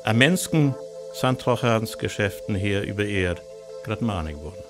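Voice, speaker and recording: A grave male narrator of a science fiction radio drama speaks with a North Frisian accent. His voice sits on the low side, and his delivery is scripted and dramatic, with soft music underneath. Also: lively intonation, unhurried. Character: grave male narrator of an alien invasion tale.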